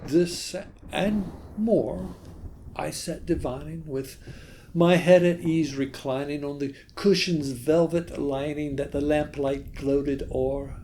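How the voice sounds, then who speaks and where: A man giving a dramatic, theatrical recitation of a gothic poem, with halting delivery and long pauses. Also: a little high, fairly even intonation, mellow, slight background noise. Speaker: poetry reader.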